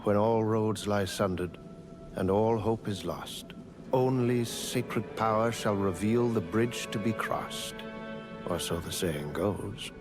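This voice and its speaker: An actor playing a humble machine attendant in a video game, polite and formal like a butler. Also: male voice, on the low side, takes it slowly, over music. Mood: courteous, humble. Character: humble, courteous war-machine construct turned housekeeping attendant. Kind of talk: scripted video game dialogue.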